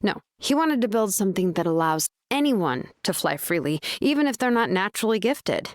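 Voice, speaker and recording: A woman's voice: mid-range voice, sing-song, a little fast, dry recording, clean studio sound, natural voice. Woman acting an easygoing, wry tribeswoman. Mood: wry, informative. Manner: casual, wry, explanatory. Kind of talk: video game voice acting.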